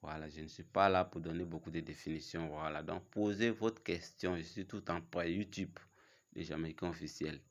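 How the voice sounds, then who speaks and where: Man with a cold, deep voice, preaching to viewers, halting and insistent. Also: steady tone. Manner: challenging, repetitive, halting. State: has a cold. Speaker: religious video host. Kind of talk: casual religious preaching to camera.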